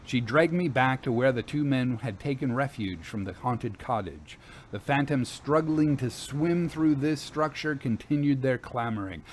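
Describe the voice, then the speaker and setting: A man narrating a scripted ghost story in the first person with a deliberate, literary, dramatic delivery. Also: mid-range voice, lively intonation, slight background noise.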